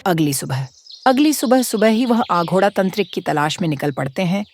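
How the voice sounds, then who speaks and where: A woman narrating a storybook horror cartoon in a lively, expressive way. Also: lowish voice, a little fast.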